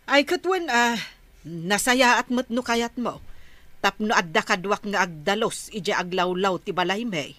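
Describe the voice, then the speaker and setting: Casting: an Ilocano village councilwoman, practical and obliging, crisp bright voice, comedy radio drama. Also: mid-range voice, animated, a little slow, no room sound. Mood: brisk, agreeable. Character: practical, obliging village councilwoman who organises clean-ups.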